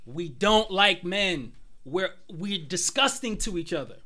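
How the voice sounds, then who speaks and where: A man's voice: high voice, lively intonation, unhurried, bright, forceful tone. A young man co-hosting a casual group chat, blunt and opinionated, often repeating himself.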